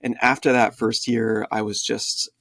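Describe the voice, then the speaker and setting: A middle-aged man raised in the United States, a podcast guest telling his life story in an animated, chatty way with frequent fillers. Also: medium pitch, measured pace, gravelly, no room sound, studio quality. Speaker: guest, translation agency founder. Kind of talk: conversational podcast interview.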